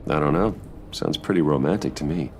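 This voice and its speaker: A man playing a composed, guarded wealthy undercover hero in a video game, speaking in a measured, understated way. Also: extremely low pitch, a little hiss or hum. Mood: guarded, calm.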